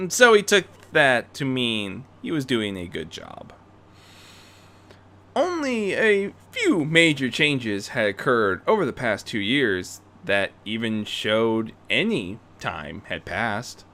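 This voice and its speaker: Male story narrator for fan fiction, bright, forceful delivery with extremely lively melodic swings. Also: mid-range voice. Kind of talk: expressive scripted story narration.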